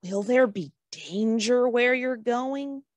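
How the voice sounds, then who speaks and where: A woman voicing a timid, anxious little talking shrub with nervous, childlike pleading, in an animated fantasy table read. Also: fairly high pitch, slow, no echo. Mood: wary.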